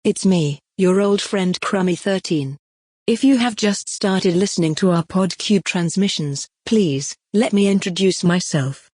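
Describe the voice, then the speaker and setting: A woman's voice: lowish voice, unhurried, darkish tone. A woman playing a self-promoting, cheerfully smug AI who presents her own podcast in a scripted comedic monologue. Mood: proud, excited. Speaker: podcast creator and host.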